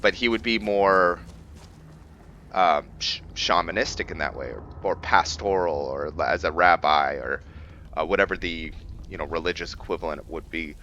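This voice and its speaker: A man giving casual gameplay commentary and explaining character lore, haltingly thinking aloud as he goes. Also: low-pitched, bright, forceful tone, dull audio, a little hiss or hum. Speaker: gameplay host and role-player.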